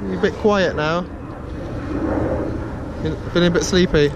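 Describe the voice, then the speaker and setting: A man's voice: high voice, steady tone, unhurried, gravelly, dull audio. A man teaching his young children to sail a small dinghy, patient and encouraging, full of praise. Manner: gentle, encouraging, lots of praise. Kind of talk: patient coaching and casual chat. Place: small sailing dinghy on a lake, outdoors. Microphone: boat-mounted action camera. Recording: outdoor wind and water noise.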